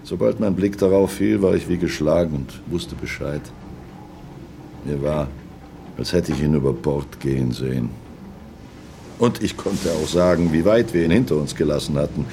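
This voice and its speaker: A low-pitched old sailor, loyal and grieving, tells his memories in a radio play. He broods and repeats himself, with some ambient noise behind him. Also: normal speed, creaky. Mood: mournful reminiscing. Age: older. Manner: ruminative, reminiscing, repeats himself. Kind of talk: radio play monologue, storytelling.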